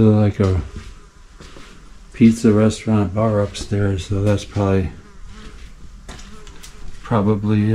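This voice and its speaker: Slow, low-voiced man exploring. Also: warm tone, poor audio. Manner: unhurried offhand remarks to himself. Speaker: urban explorer vlogger. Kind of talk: casual exploring commentary. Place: dark indoor basement and kitchen of abandoned plaza. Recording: faint background noise and room ambience.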